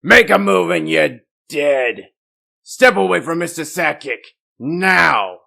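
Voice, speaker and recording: A performer voicing a stern armed security guard giving deliberate commands in a comic dub. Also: mid-range voice, lively intonation, vocal fry, sharp tone, full character voice. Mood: commanding.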